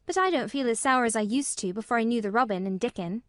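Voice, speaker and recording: Woman voicing an earnest, high-pitched, blunt little girl. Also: fast, a bit bright, dry recording, clean studio sound, lightly acted. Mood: frank. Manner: frank, matter-of-fact, emphatic. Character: earnest, blunt young girl, solemn and indignant. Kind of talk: acted storybook dialogue.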